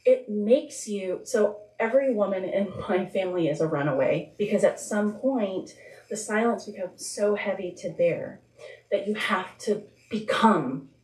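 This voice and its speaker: A woman whose first language is Spanish, speaking as a guest author at a live event in a reflective, halting, informal way. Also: mid-range voice, a little mellow, a little hiss or hum. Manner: reflective, halting, informal, with frequent filler words. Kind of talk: candid live talk answering audience questions. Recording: echoey room, distant microphone.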